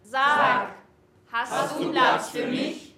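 A woman performing a poem partly in song, with a slow, drawn-out delivery. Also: high voice, fairly even intonation, gravelly. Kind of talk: sung poetry performance. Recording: live stage, roomy and distant, loud crowd.

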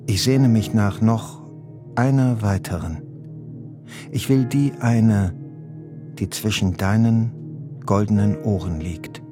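A man recites poetry in a grave, deliberate voice, leaving long pauses between phrases. His voice sits low, and a guitar plays clearly underneath. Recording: spoken over guitar music. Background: guitar music.

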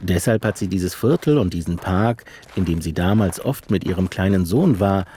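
A man narrating a radio feature, measured and literary, and interviewing an author. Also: sits low, expressive, dark tone, slight background noise. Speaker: radio reporter. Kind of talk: scripted narration.

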